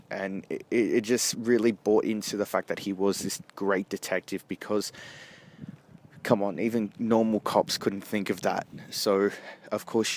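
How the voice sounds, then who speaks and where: Hesitant man reviewing, noisy street behind. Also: lowish voice. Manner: hesitant, halting, often rephrasing. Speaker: amateur film reviewer. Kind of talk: casual rambling movie review. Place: outdoors, walking along a street. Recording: noisy outdoor street with traffic. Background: street and vehicle noise.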